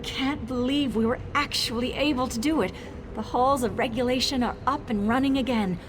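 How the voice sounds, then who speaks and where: A woman's voice: high-pitched, normal speed, background noise, close to an everyday voice. A woman voicing an eager, boastful young female mage tinkerer in a video game, dramatic and nervously evasive. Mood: triumphant, boastful. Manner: dramatic, self-congratulating, hesitant when cornered. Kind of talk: video game character dialogue.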